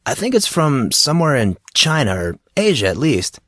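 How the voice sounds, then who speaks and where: A man's voice: medium pitch, very animated, normal speed, a bit bright, no echo, studio quality, voice pushed up. A man voicing a laid-back, enthusiastic teenage boy who talks in casual slang in an audiobook. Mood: casual, evasive. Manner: slangy, eager, casual bro-talk. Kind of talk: audiobook character dialogue.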